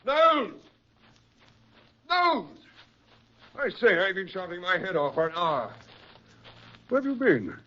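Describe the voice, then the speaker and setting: Blustering doctor, impatient, very animated pitch swings, muffled 1940s radio drama recording. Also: male voice, a little slow, pauses often, exaggerated voice. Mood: exasperated shouting.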